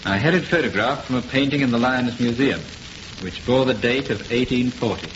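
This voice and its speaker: A grave, composed gentleman investigator of vintage radio horror, played by a man. His mid-pitched voice explains solemnly, over a dull recording with clear noise. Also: normal speed, lightly acted. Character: grave investigating gentleman, calm bearer of eerie news. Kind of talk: old-time radio horror acting. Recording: noisy muffled old radio recording.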